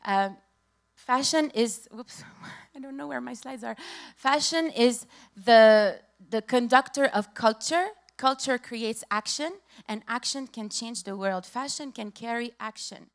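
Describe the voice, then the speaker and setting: Passionate Lebanese-born woman speaker, high voice. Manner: hurried, passionate, repeats phrases. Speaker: conference speaker. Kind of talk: conference talk with slides. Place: conference stage.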